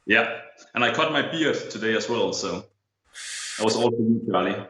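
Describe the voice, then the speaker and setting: A man's voice: fairly low pitch, expressive, normal speed, bright, forceful tone. A man in his thirties from Denmark with a Danish accent, a photographer speaking easygoing English as a guest in a casual video-call interview. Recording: roomy, slightly dull video-call audio.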